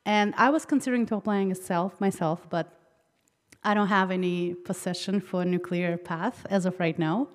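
A woman's voice: fairly low pitch, fairly even intonation, normal speed. A young woman from Kazakhstan speaking earnestly and talkatively off the cuff into a handheld microphone from the audience. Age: young adult. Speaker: audience member asking a question. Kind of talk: impromptu, personal audience question. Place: large hall, seated in the audience. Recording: reverberant hall, handheld mic.

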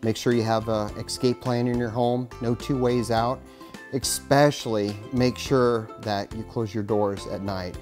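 A man's voice: mid-range voice, fairly even intonation, measured pace, darkish tone, soft background music. A middle-aged man with an American accent, a fire chief reading a children's storybook aloud and talking to kids. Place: indoors in front of a curtain backdrop, studio-like setup.

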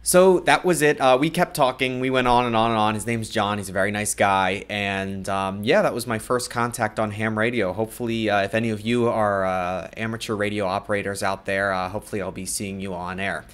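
A man's voice: fairly low pitch, fairly even intonation, fairly quick, hardly any pauses, a bit bright, smooth. A man in his thirties with an American accent, talking casually as an amateur radio hobbyist in a small room. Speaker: vlogger, new amateur radio operator. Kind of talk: casual talk to camera. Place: small indoor room at home.

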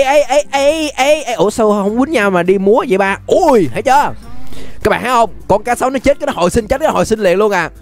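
A young man with a Southern Vietnamese accent giving casual, playful, exclamatory gameplay commentary as a YouTube host. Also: high voice. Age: young adult. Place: indoor room, webcam setup.

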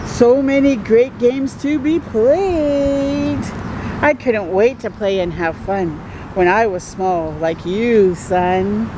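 A woman voicing a patient, playful daddy monster, gently explaining things to his young son in storybook rhyme. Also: a little high, slow, background noise.

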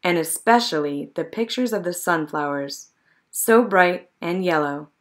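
A woman narrating a children's picture book in an expressive, measured storytelling manner. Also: lowish voice. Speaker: storybook reader.

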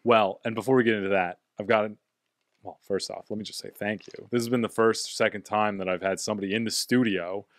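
A playful young man hosts a casual comedy podcast with a slightly low voice. He jokes and laughs easily, recorded close on a studio mic in a dry, dead room. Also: steady tone, brisk, dry recording. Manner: playful, jokey, bursts of loud laughter. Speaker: podcast host. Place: small home podcast studio, indoors. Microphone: studio mic on boom arm.

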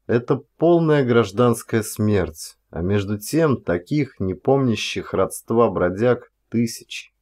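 A man's voice: medium pitch, lively intonation, slow, few pauses, a little mellow. A man reading aloud in the scripted manner of an audiobook narrator. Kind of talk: dramatic scripted literary narration.

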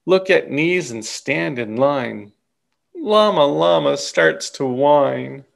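A middle-aged man reading a children's story aloud in an expressive, deliberate way. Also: a little high, creaky. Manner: animated, deliberate. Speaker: picture book reader.

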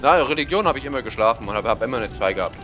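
A man's voice: medium pitch, animated, racing. A man chatting with a friend during a co-op online game, goofy and teasing, full of questions. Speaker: co-op gaming partner. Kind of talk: casual co-op gaming chat. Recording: game music and gunfire behind the voice.

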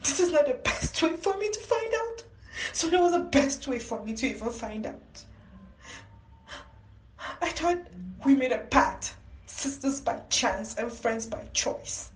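Casting: actress as betrayed twin sister, anguished and accusing, highish voice, melodrama, recorded in an echoey room. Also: female voice, expressive, unhurried. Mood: hurt, incredulous. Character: betrayed, bitter twin sister who raised her nephew. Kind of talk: melodramatic audio-drama acting. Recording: echoey room, distant mic, noisy, clicks.

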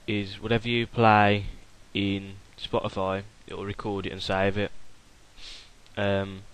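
A young man casually talking through a software tutorial while recording his screen, rambling with fillers and restarts. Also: fairly low pitch, rough recording.

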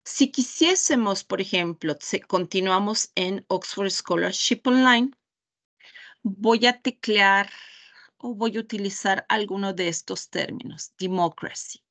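A woman with a Mexican Spanish accent leading a webinar over a video call, walking through a website step by step in a deliberate, pausing way. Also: mid-range voice, a bit bright. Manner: slow, deliberate, pausing often. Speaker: webinar trainer. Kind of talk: screen-share product demonstration.

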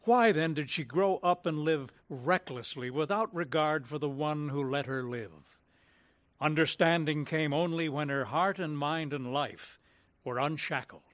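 Solemn male radio announcer, slightly high-pitched. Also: a bit bright, dry recording. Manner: measured, solemn, theatrical. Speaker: narrator and announcer. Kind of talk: scripted dramatic radio narration, old-time radio manner.

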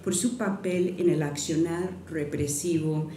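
Halting woman lecturing in an echoey hall. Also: sits low, fairly even intonation, mellow, slight background noise. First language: not Spanish. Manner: careful, halting, with frequent restarts and fillers. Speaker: guest lecturer. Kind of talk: academic lecture, partly read aloud. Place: university lecture hall, at a podium. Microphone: podium microphone. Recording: echoey hall, mic from a distance.

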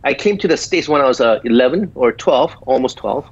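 A man's voice: medium pitch, lively intonation, slightly rough. Korean-accented man on a dull video call. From South Korea. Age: 50s. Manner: halting, many filler pauses. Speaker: guest physician. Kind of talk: remote interview.

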